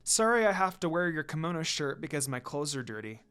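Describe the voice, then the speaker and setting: A man voicing an affectionate young woman in a romantic story, with a soft, earnest manner. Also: on the high side, sing-song, a little fast, smooth. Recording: music under the voice.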